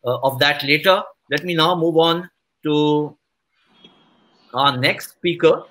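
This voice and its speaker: A man moderating a webinar over a video call, politely and briskly introducing each speaker. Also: a little high, bright, forceful tone, a little hiss or hum.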